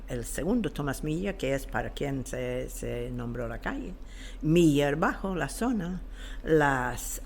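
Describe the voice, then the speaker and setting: Older woman, slow and halting. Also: very deep voice, slight background noise. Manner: halting, searching for words. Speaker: podcast guest. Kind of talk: interview answers, reflective.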